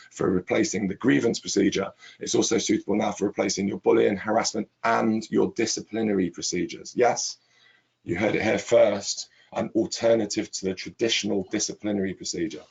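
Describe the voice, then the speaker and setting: A middle-aged man with a hoarse, croaky voice from laryngitis, hosting a webinar and stressing points with long lists of repeated words. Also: on the low side, poor audio. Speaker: webinar host, chief executive. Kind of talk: webinar presentation.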